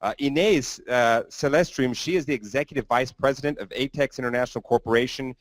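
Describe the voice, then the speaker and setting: A man's voice: medium pitch, a little fast, no echo. A man hosting a business conference, introducing speakers in a loose, rambling way with some fillers. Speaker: event host.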